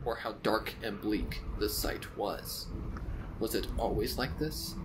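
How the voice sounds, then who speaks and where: A man voicing a grieving, weary pony narrator in a dramatic, measured reading of a gothic story. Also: on the low side, background noise. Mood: mournful, bleak. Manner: somber, measured, poetic. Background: music.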